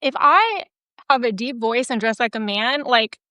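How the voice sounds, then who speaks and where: A woman's voice: on the high side, lively intonation, measured pace, bright, forceful tone, no room sound, studio quality. A woman hosting a casual interview, talking candidly with lots of "like" fillers. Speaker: host.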